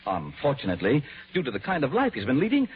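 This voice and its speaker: Fussy manager, wildly swooping pitch. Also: male voice, wildly swooping pitch, quick pace, noisy background. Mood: exasperated, grave. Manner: formal, precise, exasperated. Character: fussy, anxious male insurance company manager. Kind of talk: old-time radio drama acting. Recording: dull vintage radio recording.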